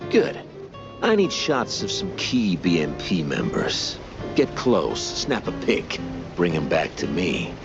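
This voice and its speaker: A man voicing a gruff, officious mouse guard in a cartoon game, curt and bossy. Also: deep voice, wildly swooping pitch, slow pace, heavily acted. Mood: conspiratorial. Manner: clipped, bossy, secretive. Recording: muffled, guitar music behind.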